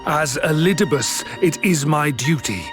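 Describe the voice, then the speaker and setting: A man playing a solemn, vengeful immortal sorcerer in a fantasy video game, speaking formally and deliberately with cold duty. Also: on the high side, expressive. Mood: grim resolve. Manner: grave, deliberate, archaic formal speech. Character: solemn, vengeful immortal male sorcerer villain, coldly dutiful. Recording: orchestral music behind the voice.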